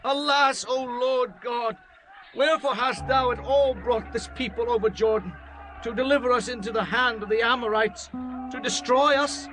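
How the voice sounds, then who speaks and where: A man's voice: very high voice, steady tone, moderate pace, poor audio. A man playing a solemn, pious Israelite leader in a dramatized scripture audiobook, speaking in declamatory archaic diction. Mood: anguished pleading. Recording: music behind the voice.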